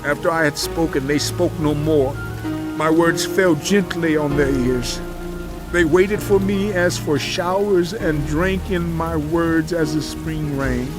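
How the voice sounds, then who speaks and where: Righteous sufferer, dramatized scripture, higher-than-average male pitch, solemn, impassioned, measured, music underneath. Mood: gentle, nostalgic. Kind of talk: dramatized audio Bible reading.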